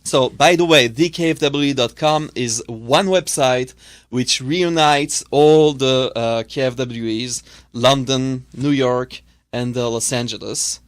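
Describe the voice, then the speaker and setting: A man in his thirties, a studio guest, chatting casually and running through a list with many pauses and uhs. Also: medium pitch, no room sound. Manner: lists names with many uhs. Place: small cluttered radio studio. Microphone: studio mic.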